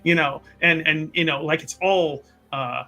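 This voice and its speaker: A man playing a tabletop game, improvising narration of his character's actions in a rambling, halting way. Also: fairly high pitch, fairly even intonation, bright, forceful tone.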